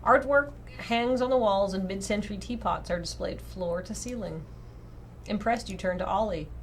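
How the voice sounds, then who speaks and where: A woman reading a visual novel aloud on a livestream in an expressive, casual way, often breaking off into playful asides. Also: medium pitch, moderate pace, slight background noise.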